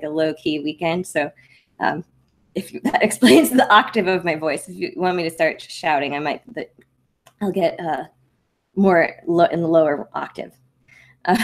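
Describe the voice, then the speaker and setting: A young adult woman hosting a casual maker livestream, speaking softly with lots of ums and likes. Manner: rambling, full of ums and likes; speaks softly and higher-pitched.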